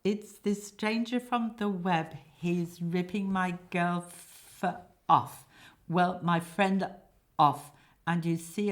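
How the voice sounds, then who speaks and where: A woman's voice: medium pitch, fairly bright tone, voice pushed up. A woman voicing a nervous, lovesick young man in a comic stage play, hesitant and prone to stammering.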